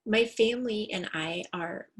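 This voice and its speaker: A middle-aged woman speaks as a teacher introducing herself to families. Her voice is of medium pitch, and her delivery is prepared and orderly. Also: unhurried. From California. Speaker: English language development teacher.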